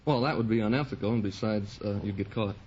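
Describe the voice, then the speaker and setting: A man's voice: on the low side, quick pace. An American man in his twenties from Indiana, answering a quiz host earnestly in formal wording. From Indiana, United States. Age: 20s. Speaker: quiz show contestant. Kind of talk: unscripted interview answers. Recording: dull, faintly noisy vintage radio recording.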